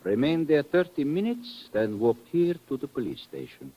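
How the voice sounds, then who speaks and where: An actor playing a courteous, watchful police lieutenant with an Iberian accent, formal and measured, in a 1950s radio drama style. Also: male voice, mid-range voice, wildly swooping pitch, a little hiss or hum. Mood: formal, suspicious. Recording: dull old radio recording.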